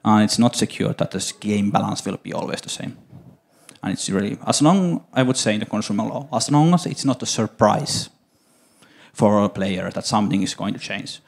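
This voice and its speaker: A middle-aged man with a Finnish accent answers audience questions on a conference stage into a handheld mic, measured and hesitant, with many fillers. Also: fairly low pitch, lively intonation, creaky. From Finland. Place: conference stage, large hall.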